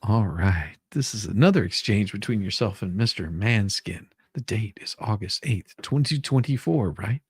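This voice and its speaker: Animated, giggly host in a dry room. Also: deep voice, moderate pace, hardly any pauses, breathy edge, dry recording. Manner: giggly, easily cracks up between read lines. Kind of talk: dramatic reading of a deposition transcript with casual banter.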